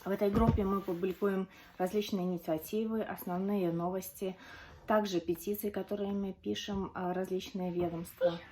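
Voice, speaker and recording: A woman from Belarus explaining, in a measured way, the online group she runs. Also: medium pitch, fairly even intonation, darkish tone, rough recording. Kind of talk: short personal testimony.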